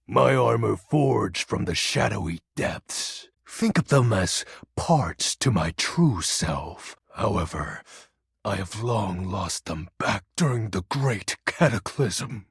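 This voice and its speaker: A husky low dark shadow lord for a video game, super melodramatic and dorky grandiose, pitch jumps all over. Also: male voice, no room sound, studio quality, heavily acted. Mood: solemn, theatrical. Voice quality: gravelly, husky. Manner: pompous, theatrical, archaic grandiose phrasing. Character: melodramatic brooding Lord of Shadows, personified darkness, secretly dorky. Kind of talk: video game character voice acting.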